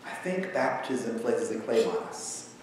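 A man in his thirties preaching a sermon from a church pulpit, talking in a conversational, animated way. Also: mid-range voice, a little slow. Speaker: pastor. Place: large church sanctuary with pipe organ. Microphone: far camera across the sanctuary. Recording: echoey sanctuary from far off, faint hiss.

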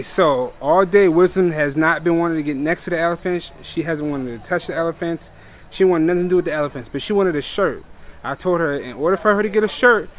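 Casual man vlogging, easygoing chat. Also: a little high. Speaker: vlogger interviewing his family.

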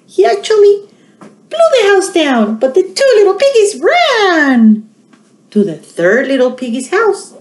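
A middle-aged woman leads a children's storytime in a roomy, echoey space. Her pitch swings in a lively way and she takes her time, talking straight to the little ones. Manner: animated, addressing little children directly. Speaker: children's librarian hosting storytime. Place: small indoor room. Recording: roomy, echoey home webcam sound.

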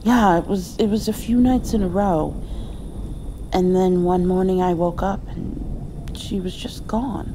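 A woman playing a loving mother who comforts her grown child in a tender, hushed ASMR roleplay. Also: lowish voice, unhurried, background noise. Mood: soft, reflective. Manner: tender, soothing, sometimes dropping toward a whisper.

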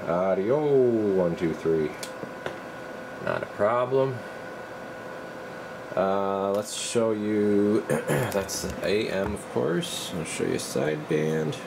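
Relaxed man testing radios. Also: deep voice, creaky, background noise. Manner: relaxed, halting, talks while working. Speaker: radio repair technician. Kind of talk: casual workshop demonstration. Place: radio workshop.

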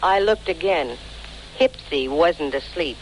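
A woman's voice: sits low, animated, takes it slowly. A noisy vintage radio recording carries a sardonic American lady detective. She narrates her case in breezy, dry wisecracks, like a former model who has seen it all, in classic 1940s radio style. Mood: dry, wry. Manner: dry, wry, sardonic delivery. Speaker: lead actress and narrator.

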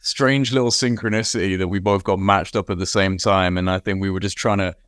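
Host, man, 30s; relaxed and conversational, smooth clear voice, dry close webcam sound. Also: low-pitched, brisk, no room sound. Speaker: podcast host. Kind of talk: conversational interview. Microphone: video call webcam.

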